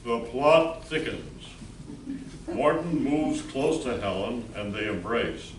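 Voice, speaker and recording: A man narrating an amateur play from a script, with deliberate storytelling delivery. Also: medium pitch. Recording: roomy, distant mic with background noise.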